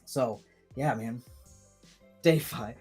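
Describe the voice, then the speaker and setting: A man's voice: medium pitch, drawn-out words, a little mellow, slightly rough, soft background music. A man in his thirties hosting a live stream, chatting casually and rambling with many restarts while reading viewer comments. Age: 30s.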